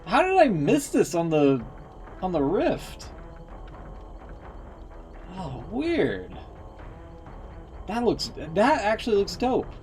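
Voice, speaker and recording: A man's voice: high-pitched, huge pitch swings, slow pace, pauses often, darkish tone, faint music behind. A man giving casual, rambling game-streamer commentary, thinking aloud and swearing casually. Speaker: game streamer reacting to lore and cutscenes.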